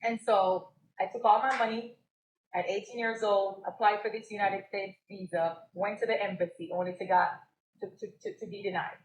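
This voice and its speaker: A woman's voice: medium pitch, fairly even intonation, normal speed, roomy sound, low-quality recording. A young woman from Jamaica, a business co-owner and guest on a live podcast, telling her story and laughing often. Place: stage at a live event.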